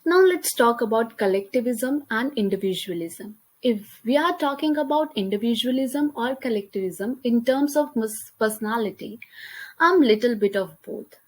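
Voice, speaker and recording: A young woman with an Indian accent, speaking English as her second language, presents a class talk over slides. Her voice sits a little high, and she reads carefully, restarting now and then on a word. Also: lively intonation, moderate pace. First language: Hindi. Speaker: student presenter. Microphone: computer mic, voice-over on slides.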